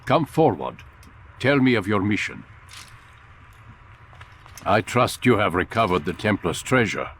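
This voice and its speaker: Old assassin master, lowish voice that rises and falls a lot, commanding, scolding, game drama. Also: male voice, very animated, a little slow, pauses often, a bit bright, a little hiss or hum, exaggerated voice. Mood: stern, measured. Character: stern older male master of assassins. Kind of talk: dramatic video game acting.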